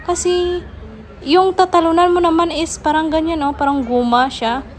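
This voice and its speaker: A woman's voice: very high-pitched, steady tone, normal speed. A Filipino woman narrating a casual vlog, chattily addressing her viewers. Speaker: vlogger.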